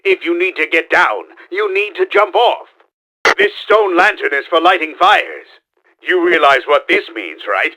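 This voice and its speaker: A bombastic mad-scientist game villain, pitched high with wild, swooping intonation. The gravelly voice is forceful and theatrical. He is pompous and scheming, a middle-aged schemer who performs every line. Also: expressive, unhurried, full character voice. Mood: bossy, scheming. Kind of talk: exaggerated video game voice acting.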